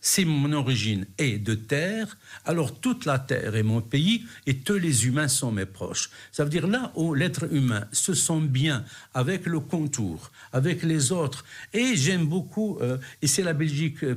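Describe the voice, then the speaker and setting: A man's voice: on the high side, big pitch swings, a little slow, hardly any pauses, breathy edge. A middle-aged man from Morocco, answering interview questions in a hesitant way, with many fillers and restarts. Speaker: guest.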